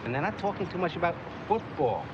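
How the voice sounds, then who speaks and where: A man giving an informal explanatory talk as a guide, speaking emphatically in halting phrases. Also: fairly high pitch, sing-song, noisy background. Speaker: tour guide or lecturer. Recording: muffled location sound under music. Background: music.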